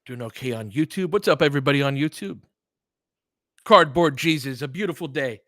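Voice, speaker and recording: A man's voice: on the high side, animated, fairly quick, a bit bright, dry recording, clean studio sound. A man doing casual live basketball play-by-play in short, clipped phrases, with informal asides as a fan would make. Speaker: play-by-play commentator and streamer.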